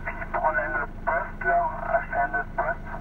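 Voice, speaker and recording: A man chatting casually over a two-way radio, in halting, short phrases that he often repeats. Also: extremely high pitch, wildly swooping pitch. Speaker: amateur radio operator. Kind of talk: casual radio contact chat. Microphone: radio microphone about fifteen centimetres away. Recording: tinny, noisy two-way radio link.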